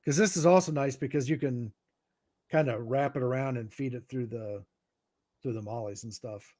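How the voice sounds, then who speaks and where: A middle-aged American man giving informal explanations in a halting way, as if thinking aloud. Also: mid-range voice, warm tone. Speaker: guest radio expert.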